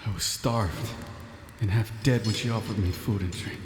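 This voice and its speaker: Man acting as weary male prisoner, soft low voice, downcast, cavernous echo, faint ambient noise, audio drama. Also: normal speed, natural voice. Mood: weary, ashamed. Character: weak, weary captive man, a grateful old companion.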